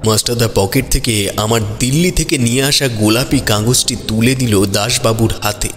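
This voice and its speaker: A man's voice: mid-range voice, normal speed, close to an everyday voice. A man voices a detective's companion telling a mystery in a scripted audio drama. His voice rings with long reverb, and music plays behind it. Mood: measured storytelling. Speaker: narrator. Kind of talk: scripted first-person audio story narration.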